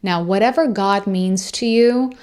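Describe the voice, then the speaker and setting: A woman who is a native Persian speaker, hosting a reflective monologue in a measured, deliberate way with long pauses. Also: medium pitch, darkish tone. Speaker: host.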